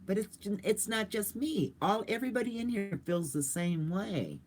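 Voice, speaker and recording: Lively older woman, rambling chat. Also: mid-range voice, normal speed. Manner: rambling, goofy, chatty. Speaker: vlogger. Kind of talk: casual livestream chat.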